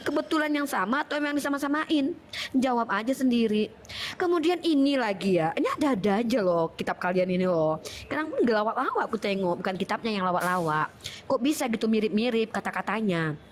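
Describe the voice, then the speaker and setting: A woman's voice: fairly high pitch, lively intonation, a little fast, a little hiss or hum. A woman with a Medan accent hosting an online discussion, reading passages aloud with playful, mocking comments. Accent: Medan Indonesian. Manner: playful, mocking, laughs at her own reading. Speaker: host.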